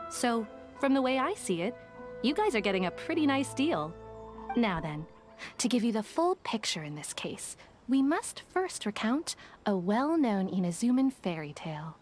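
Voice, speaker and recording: A woman voicing a shrewd, businesslike female detective with a smooth, sly manner. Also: medium pitch, close to an everyday voice. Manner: smooth, sly, deal-making. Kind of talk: game voice acting. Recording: game audio under music.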